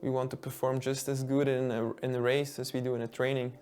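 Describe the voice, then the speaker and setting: A man's voice: mid-range voice, little pitch movement, a little fast, darkish tone. A man from Belgium, a ski racer speaking casually in a documentary interview and often reaching for words. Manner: casual, filler-laden, searching for words.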